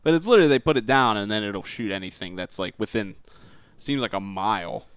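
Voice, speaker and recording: A man's voice: fairly low pitch, fairly quick, clean tone, no room sound. A man co-hosting a podcast in casual gaming chat, informal and opinionated, with a habit of complaining. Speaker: podcast co-host.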